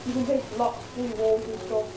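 A woman's voice: on the high side, flat delivery, moderate pace. A young woman giving casual, rambling commentary as she plays a video game at home. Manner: rambling, filler-heavy chatter. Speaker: let's play host. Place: home room, filmed from a TV screen. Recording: echoey room with game music.

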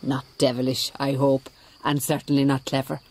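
Low-voiced, unyielding Mother Superior. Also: little pitch movement, a little mellow, a little hiss or hum. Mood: calm persuasion. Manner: measured, dryly ironic. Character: shrewd, composed Mother Superior, devout and dryly unyielding. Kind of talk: radio drama acting and narration.

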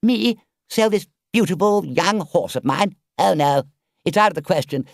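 A man voicing a boastful, excitable male toad who haggles in high, exclamatory bursts. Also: normal speed, creaky, dry recording, clean studio sound. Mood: indignant bargaining.

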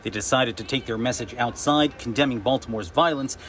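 A man in his thirties giving a TV news field report with a handheld mic, outdoors on a city street at night, in a steady, clipped newscast delivery. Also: medium pitch, fairly quick.

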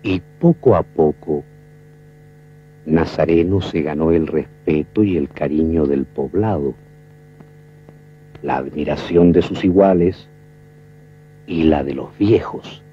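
An actor playing a solemn Argentine storyteller, giving poetic, measured narration of a rural legend in a film. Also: male voice, extremely low pitch, breathy edge, exaggerated voice. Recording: dull old film track, music behind.

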